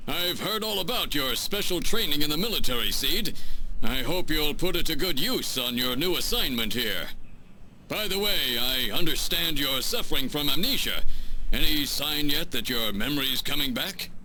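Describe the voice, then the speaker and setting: An actor playing a brisk, authoritative middle-aged police chief in a retro video game, speaking in a clipped, businesslike way. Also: male voice, fairly high pitch, expressive, sharp tone, slight background noise, poor audio. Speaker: chief of operations. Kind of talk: 1980s game voice acting.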